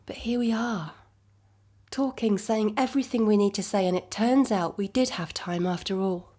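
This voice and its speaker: Female actor, intimate radio play: loving, steadfast young girlfriend, medium pitch, understated and conversational, gentle delivery. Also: moderate pace, lightly acted. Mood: reassuring. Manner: soft, conversational, reassuring. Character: Chloe, devoted, forgiving young girlfriend, gently resolute. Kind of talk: intimate naturalistic audio drama acting.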